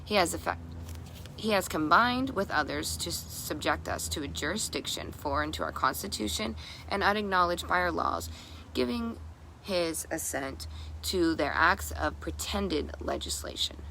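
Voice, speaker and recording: A woman reading a historical document aloud, haltingly, sometimes stumbling over long words and repeating them. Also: lowish voice, big pitch swings, gravelly, sharp tone, slight background noise, low-quality recording. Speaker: reader.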